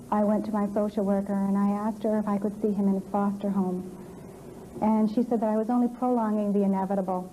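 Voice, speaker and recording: A middle-aged woman giving reflective, measured and articulate answers as a birth mother in an interview. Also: medium pitch, warm tone, a little hiss or hum, poor audio.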